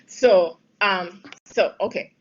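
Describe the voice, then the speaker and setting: A woman's voice: medium pitch, slow, breathy edge, highs cut off. A woman from Barbados answering audience questions on trade and health policy in a webinar, thinking aloud with fillers and firm emphasis at times. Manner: thoughtful, many fillers and restarts, emphatic in places. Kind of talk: spontaneous expert Q&A on a webinar.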